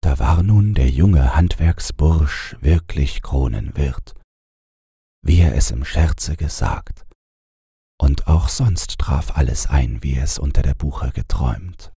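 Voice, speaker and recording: A man narrating a bedtime fairy tale as an audiobook reader, in a calm, measured storytelling manner. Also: very deep voice, gravelly, husky, no echo, studio quality.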